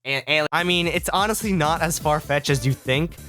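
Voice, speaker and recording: A man's voice: fairly high pitch, fairly quick, sharp tone, soft background music. A young man giving casual, scripted video review commentary in a sarcastic, sweary manner. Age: young adult. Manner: sarcastic, profane. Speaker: video essay host, film student.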